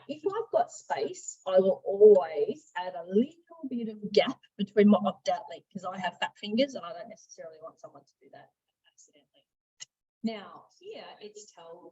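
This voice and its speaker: A woman trainer talking through a software tutorial in a conversational, instructive way. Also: mid-range voice, muffled recording. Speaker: software trainer, marketing and sales director. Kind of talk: screen-share software tutorial.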